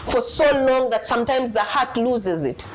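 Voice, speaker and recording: Strong-voiced woman speaking with deep feeling. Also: expressive, moderate pace. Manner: emphatic and heartfelt, repeating words when moved. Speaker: interview guest. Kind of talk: candid conversational interview.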